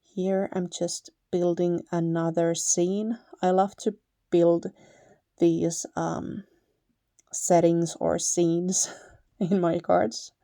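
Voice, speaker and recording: A Finnish-accented woman talks through her card-making in an unhurried, easygoing way. Her voice is low and mellow. The sound is dry and close to the mic. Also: no room sound. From Finland. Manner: relaxed, chatty, laughs lightly, self-correcting. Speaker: paper crafter, channel host. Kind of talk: casual crafting tutorial voice-over.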